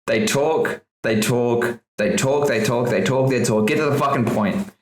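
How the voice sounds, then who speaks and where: A man's voice: medium pitch, unhurried. A man co-hosting a casual podcast, ranting and swearing often with bursts of laughter. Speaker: podcast co-host. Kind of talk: casual chat. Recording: echoey room.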